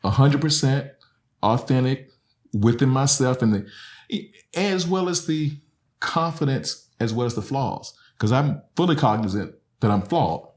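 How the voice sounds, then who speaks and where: A man's voice: medium pitch, expressive, unhurried. A middle-aged man from Alabama, a professor talking earnestly as a podcast guest, often tagging "right?" and "you know". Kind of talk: reflective conversational explanation.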